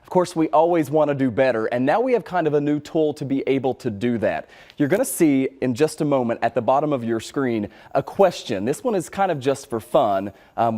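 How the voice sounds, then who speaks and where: A man's voice: medium pitch, warm tone. Conversational American male news reporter. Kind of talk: conversational live news presentation.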